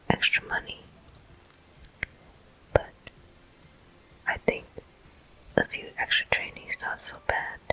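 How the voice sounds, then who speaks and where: An ASMR gameplay host speaks entirely in a breathy whisper. The delivery is very slow, gentle and deliberate. The sound is crisp and very bright, with hissing air in place of a full voice. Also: low-quality recording.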